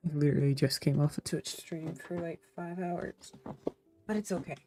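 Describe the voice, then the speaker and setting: A woman's voice: sits low, steady tone, moderate pace, dark tone, soft background music. A woman streamer giving casual gameplay commentary, rambling and talking to herself with lots of fillers. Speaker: small streamer.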